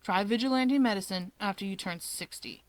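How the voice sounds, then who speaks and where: A woman's voice: medium pitch, moderate pace, no room sound, close to an everyday voice, low-quality recording. A woman voicing a nagging, anxious older brother in a fan story, terse, sardonic and exasperated. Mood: weary, worried.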